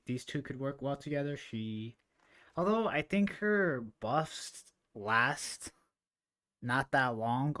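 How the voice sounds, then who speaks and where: Male streamer for casual live gameplay commentary, medium pitch, loose and rambling delivery. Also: fairly bright tone. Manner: rambling, thinking aloud.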